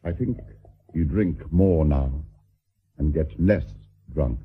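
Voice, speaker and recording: An actor playing a discreet, courtly gentleman confidant in a radio drama, speaking formally with dry, tactful irony. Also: extremely low pitch, slightly rough, full character voice. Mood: dryly candid. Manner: formal, measured wording, dryly ironic. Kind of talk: restrained radio drama acting. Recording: thin, phone-like band-limited radio drama audio.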